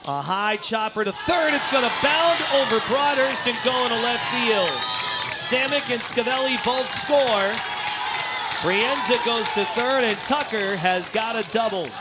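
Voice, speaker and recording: A man calling live baseball play-by-play, descriptive between plays and excitable on big ones. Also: very high-pitched, unhurried, heavy background noise. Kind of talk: live sports play-by-play commentary. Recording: crowd and music behind commentary. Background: ballpark crowd, music.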